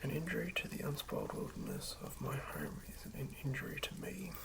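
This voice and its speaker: An ASMR host speaking in a hushed, halting whisper, musing aloud through unscripted commentary. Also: medium pitch, wildly swooping pitch, creaky, poor audio.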